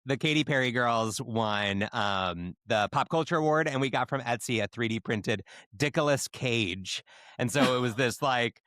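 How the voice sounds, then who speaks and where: A man's voice: medium pitch, normal speed, a bit bright, no room sound, clean studio sound. A man telling stories in casual podcast chat as one of the hosts. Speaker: podcast host.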